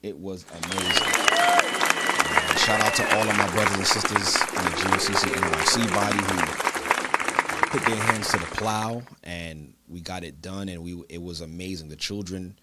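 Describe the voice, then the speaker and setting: A man's voice: sits low, pushed and bright. A man hosting a church livestream, talking loosely and casually to his listeners as brothers and sisters. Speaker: church broadcast host.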